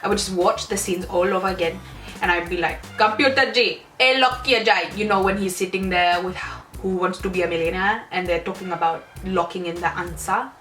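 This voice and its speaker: A woman's voice: on the low side, little pitch movement, fairly quick, fairly bright tone. A young woman vlogger chatting casually and animatedly to camera, giggling often. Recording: roomy room, distant mic, faint music.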